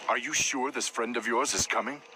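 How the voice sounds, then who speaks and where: A man's voice: medium pitch, fairly even intonation, brisk, background noise, close to an everyday voice. A man playing a dutiful, impatient army captain in a fantasy game cutscene. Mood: impatient, doubtful.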